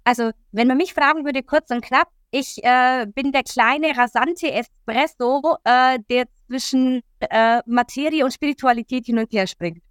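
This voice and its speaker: Smooth-voiced woman from Italy. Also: high-pitched, unhurried, few pauses, no room sound, clean studio sound. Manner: lively, earnest, many fillers. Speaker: coach, guest. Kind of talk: interview talk.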